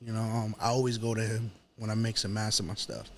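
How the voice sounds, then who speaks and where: A man's voice: lowish voice, little pitch movement, quick pace, slight background noise. A man with a New York accent speaking informally and with slang as a guest in a casual interview. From New York City. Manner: informal, slangy, repeats himself. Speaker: rapper, podcast guest.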